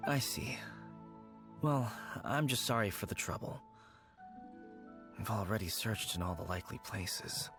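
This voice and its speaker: A man voicing an anxious young man haunted by a lost childhood friend, speaking in a halting, worried, soft-spoken way, in game audio. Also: fairly low pitch, lively intonation, gravelly. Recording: game audio with background music.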